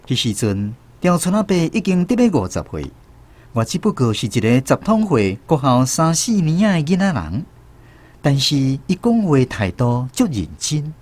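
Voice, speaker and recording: A man's voice: fairly high pitch, sing-song, normal speed, darkish tone. A man with a Taiwanese accent narrating a radio drama, playing a grown man who recalls his village childhood. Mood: reminiscing. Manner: storytelling, reminiscing.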